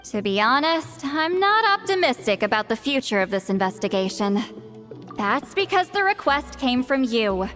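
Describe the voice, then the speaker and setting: A proud female warrior in a video game. Her voice is high, with a brusque, commanding edge. She comes across blunt and impatient over faint music. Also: very animated, moderate pace, a bit bright. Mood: skeptical.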